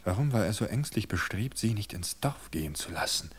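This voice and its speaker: A man narrating a novel in a measured storytelling manner, slowing for suspense. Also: on the low side, expressive, slightly rough.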